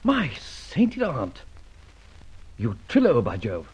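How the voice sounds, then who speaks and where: An actor in a vintage radio drama playing a suave, teasing gentleman thief, speaking in wordy, mock-pompous theatrical banter. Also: medium pitch, huge pitch swings, slow pace, breathy edge, full character voice. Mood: amused, dry. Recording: dull, muffled old radio recording.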